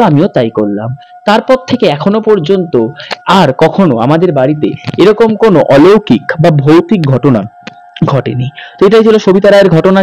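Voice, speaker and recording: A man's voice: fairly high pitch, dark tone, rough recording. A man narrating in a dramatic, suspenseful ghost-story style as a channel host. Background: background music.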